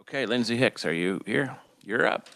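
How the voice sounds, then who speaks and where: A man's voice: medium pitch, animated, normal speed, gravelly, fairly bright tone, dry recording. A man chairing a legislative committee hearing, asking questions and calling witnesses in an easygoing way.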